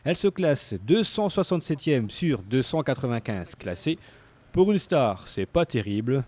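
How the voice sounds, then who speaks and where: A man's voice: medium pitch, sing-song, normal speed, darkish tone. A man narrating a scripted local TV report in a playful, tongue-in-cheek manner.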